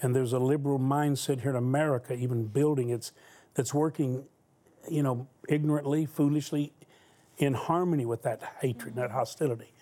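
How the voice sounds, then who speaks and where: Man, talk show host, earnest, preacherly, mid-pitched voice. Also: mellow. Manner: earnest, preacherly, asks pointed questions.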